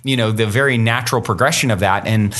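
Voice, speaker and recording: A man's voice: fairly low pitch, quick pace, a bit bright. A man hosting a spiritual podcast, musing aloud and filling his sentences with "you know". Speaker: podcast host. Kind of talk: reflective spiritual conversation.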